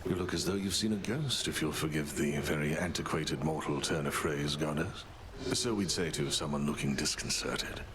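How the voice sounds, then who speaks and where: An actor playing a courteous, old-fashioned spirit in a fantasy video game, speaking in a formal, wordy, measured way. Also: male voice, rumbling low, noisy background. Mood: polite concern.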